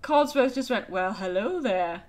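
A woman's voice: medium pitch, lively intonation, a little mellow. A young woman hosting a game let's play, chatting casually and narrating her own moves. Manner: chatty, self-narrating, quick laughs. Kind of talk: casual gameplay commentary.

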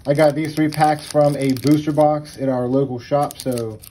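A man casually chatting while opening trading card packs, relaxed and chuckling as he calls out cards. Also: normal speed, slight background noise. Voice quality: says he has a very deep voice. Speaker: channel co-host. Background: faint crinkling of card packs.

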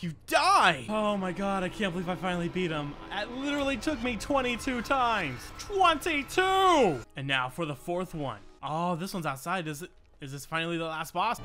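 High-pitched, excitable man doing game commentary. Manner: foul-mouthed, exclamatory, repeats phrases in bursts. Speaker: gaming video host. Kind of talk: excitable let's-play commentary.

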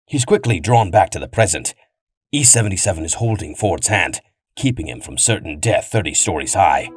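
A man's voice: low voice, lively intonation, normal speed. A man giving dramatic scripted storytelling narration, as the narrator of an audio drama. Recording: loud music behind.

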